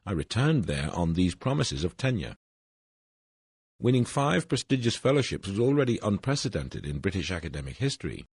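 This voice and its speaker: An older man from Wales, reading his own autobiographical essay in a measured, formal way, his voice a little husky and his phrases falling at their ends. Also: low-pitched, sing-song, darkish tone, no room sound. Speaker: essay narrator.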